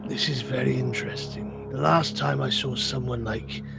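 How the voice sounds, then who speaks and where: A man with a naturally gravelly voice playing his character in a tabletop game, talking in stammering restarts. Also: medium pitch, steady tone, moderate pace, music in the background. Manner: stammering restarts, laughs often.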